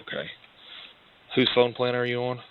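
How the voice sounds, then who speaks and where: A man's voice: medium pitch, completely flat delivery, normal speed, smooth. An American man speaking as a police detective questioning someone by phone, hesitant and apologetic as he explains himself. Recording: dull lo-fi recorded phone call.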